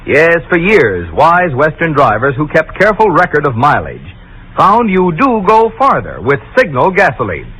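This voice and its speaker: Very animated male 1940s radio announcer. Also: on the high side, slight background noise, poor audio. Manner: persuasive, folksy, emphatic. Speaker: commercial announcer. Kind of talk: 1940s radio sponsor pitch.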